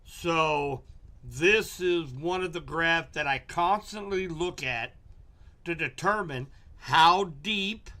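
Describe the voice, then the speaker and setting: A middle-aged man with a hoarse, fading voice, slowly walking viewers through weather charts on a live stream. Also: on the high side, pushed and bright. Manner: slow, explanatory, walks viewers through charts. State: hoarse, losing his voice. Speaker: local weather forecaster.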